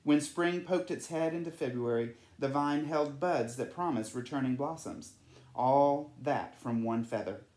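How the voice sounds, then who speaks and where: Male storyteller, children's read-aloud, mid-pitched voice, strongly roomy recording. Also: a little slow, darkish tone. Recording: echoey room.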